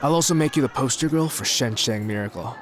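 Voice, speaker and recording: A man playing a boastful, self-important showbiz mogul in an audio drama, smooth-talking as he courts. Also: mid-range voice, fast talker, very noisy, natural voice. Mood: grandiose.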